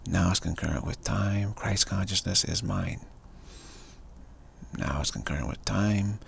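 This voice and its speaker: A man repeating spiritual affirmations over and over in a slow, chanting way, talking from a cafe on a live stream. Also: low-pitched, sing-song, a little hiss or hum. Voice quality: gravelly, raspy voice. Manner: slow, repetitive, chanting phrases. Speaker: spiritual speaker.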